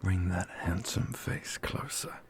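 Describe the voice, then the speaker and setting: A man playing a tender, doting fantasy lover in an intimate ASMR roleplay, soothing and gently teasing in a soft whisper. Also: deep voice, expressive, slow pace. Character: tender, doting male fantasy lover caring for his partner.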